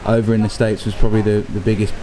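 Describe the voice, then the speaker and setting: An Australian man interviewing a boxer in a relaxed, friendly way, with frequent filler words. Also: lowish voice, rapid-fire, poor audio. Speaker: boxing interviewer. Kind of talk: casual interview.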